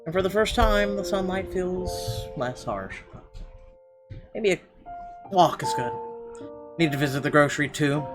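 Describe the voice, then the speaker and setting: A man's voice: fairly high pitch, a little slow, pauses often. A man narrating a visual novel as a game streamer, reading expressively with small stumbles and asides. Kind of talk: reading visual novel text aloud, let's play. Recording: game music behind the voice.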